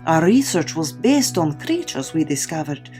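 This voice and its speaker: A woman playing a calm, authoritative Soviet vampire kommissar in an audio drama, speaking in a measured, worldly way. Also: sits low, soft background music. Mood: grave, explaining.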